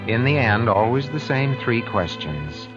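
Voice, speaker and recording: An actor playing a cagey, calculating husband accused of murder, narrating and speaking in old radio suspense style, deliberate but halting under questioning. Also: male voice, mid-range voice, very animated. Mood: measured, brooding. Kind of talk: 1940s-50s radio suspense acting, first-person narration. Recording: muffled vintage radio recording, music behind.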